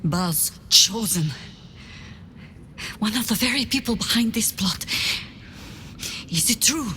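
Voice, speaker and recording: An actress playing a principled woman warrior in a fantasy game, guarded but loyal, speaking in an earnest, measured, formal way. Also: on the high side, lively intonation, sharp tone, slight background noise, exaggerated voice. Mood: wary demand. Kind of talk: game dialogue acting.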